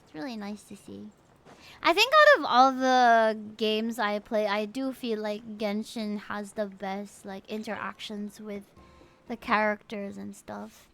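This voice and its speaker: A woman's voice: medium pitch, unhurried, creaky, a bit bright. A woman livestreaming a game playthrough, chatty and enthusiastic, reacting casually and greeting her chat. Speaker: game streamer.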